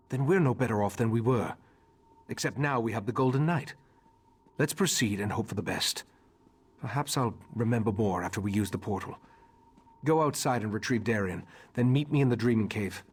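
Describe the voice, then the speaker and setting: An actor playing a stern, commanding elder mage in a fantasy video game, speaking with measured authority. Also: male voice, mid-range voice, slightly rough. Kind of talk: video game character dialogue.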